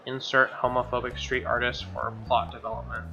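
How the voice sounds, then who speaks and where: A man's voice: medium pitch, flat delivery, fairly quick, gravelly, bright, forceful tone. A man reading a visual novel aloud as a let's play host, with wry, joking casual asides. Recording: dull lo-fi sound, music behind.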